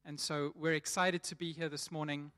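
A man's voice: a little high, flat delivery, normal speed, sharp tone. A man in his thirties with a South African accent, giving a chatty sermon to his church and often repeating words as he restarts. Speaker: church leader preaching.

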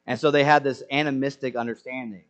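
A man's voice: mid-range voice, fairly even intonation, quick pace. A man preaching a sermon in an earnest way, asking rhetorical questions to the congregation. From Senegal. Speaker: pastor.

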